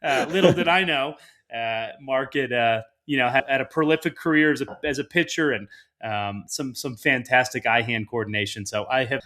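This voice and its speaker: A man's voice: medium pitch, moderate pace, sharp tone. Male podcast host, mid-range voice, chatting casually and jovially with a guest, happy to poke fun at himself. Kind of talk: casual conversational interview.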